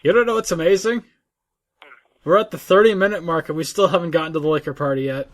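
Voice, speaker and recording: A man's voice: a little high, steady tone, fast. A man co-hosting a gaming podcast, chatting casually and informally, often repeating himself as he makes his point. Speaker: co-host of a gaming discussion podcast. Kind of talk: casual chat.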